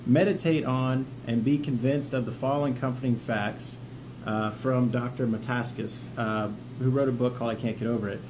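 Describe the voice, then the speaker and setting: A man with a medium-pitched voice hosts an informal instructional vlog. He is plain-spoken and encouraging, moving between reading notes and casual explanation. Clear ambient noise sits behind his voice. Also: steady tone, normal speed. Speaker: vlog host, peer mentor for trauma coping. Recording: clearly noisy ambient background.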